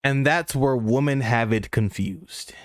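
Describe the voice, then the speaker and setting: A man's voice: medium pitch, measured pace, no echo, clean studio sound. A man giving blunt, slangy, lecturing commentary over interview clips. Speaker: reaction commentator.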